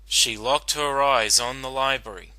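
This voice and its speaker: Very bright, forceful male story narrator. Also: mid-range voice, unhurried, dry recording, rough recording. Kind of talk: dramatic scripted story narration.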